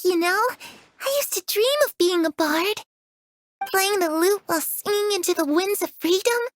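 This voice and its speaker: A woman voicing a gentle, hopeful young girl in a video game, speaking softly and earnestly with wistful curiosity. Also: very high-pitched, moderate pace. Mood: dreamy. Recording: music under the voice.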